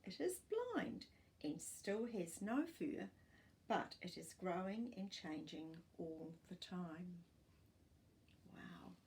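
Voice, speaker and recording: An older woman reading a children's non-fiction book aloud as a storytime host, with expressive, deliberate delivery for young children. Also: lowish voice, a little hiss or hum. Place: small furnished living room, indoors.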